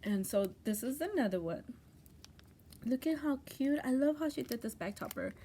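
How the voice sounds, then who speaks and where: Crafty lady gushing over handmade tags, voice kinda hoarse, chatty, faint hiss behind her. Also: sits high, animated, moderate pace, warm tone. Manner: gushing, chatty, restarts often. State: voice going hoarse, coughing. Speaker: crafting channel host. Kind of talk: casual craft mail unboxing.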